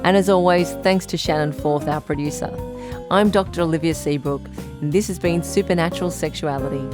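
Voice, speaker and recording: Low-voiced, reassuring woman radio doctor, played by an actress. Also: over music, lightly acted. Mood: reassuring, sincere. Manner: measured, reassuring, articulate. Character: calm, reassuring woman doctor hosting a supernatural advice show. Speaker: radio call-in advice show host. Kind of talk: scripted audio drama as radio call-in host.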